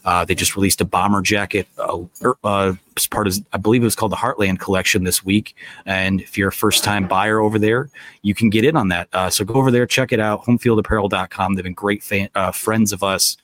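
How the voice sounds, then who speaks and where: A man's voice: fairly low pitch, steady tone, brisk, fairly bright tone, no echo. A man hosting a live post-game sports talk show from a stadium press box, losing his voice to a cold, talking casually with lots of fillers. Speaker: podcast host.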